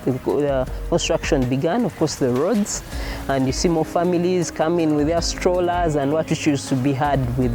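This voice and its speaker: A man giving interview remarks as a zoo official. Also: a little high.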